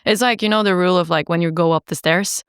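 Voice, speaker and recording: A singer-songwriter woman from Norway, chatting casually in an interview, laughing easily and filling pauses with ums and likes. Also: lowish voice, fairly even intonation, clean tone, dry recording, studio quality. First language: Norwegian.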